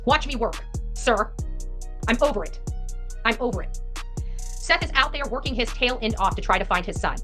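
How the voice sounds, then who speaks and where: High-pitched, combative woman ranting over music. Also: lively intonation, normal speed, long pauses, bright, forceful tone. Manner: combative, emphatic, repetitive. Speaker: host of a true-crime online channel. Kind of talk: impassioned rant to camera.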